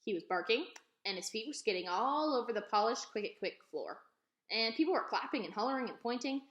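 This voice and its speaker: A woman reading a children's book aloud as an expressive storyteller. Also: a little high, a little fast, dull audio. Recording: echoey room.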